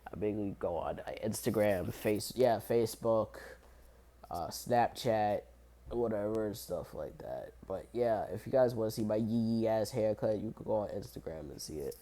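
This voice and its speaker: A young man, sick and hoarse, rambling casually in crude slang on his vlog. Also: fairly low pitch, moderate pace, mellow. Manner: rambling, crude slang, laughs and coughs often. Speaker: YouTube voice creator.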